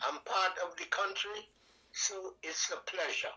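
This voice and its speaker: An elderly man with a Trinidad and Tobago accent and a strikingly bright, high voice. He tells stories in a rambling, folksy way full of dialect grammar and blessings, sharp and ringing in tone. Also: unhurried, rough recording. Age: older. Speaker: guest, veteran and calypso singer. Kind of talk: casual interview storytelling.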